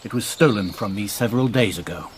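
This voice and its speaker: A man playing a proud, stiff gentleman under suspicion in a radio drama, impatient and guarded. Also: on the low side, fast, a little hiss or hum, natural voice, rough recording.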